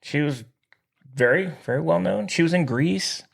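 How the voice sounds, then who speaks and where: A man hosting a podcast, bantering casually in an excitable, animated way. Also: a little high, moderate pace, gravelly. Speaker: podcast host.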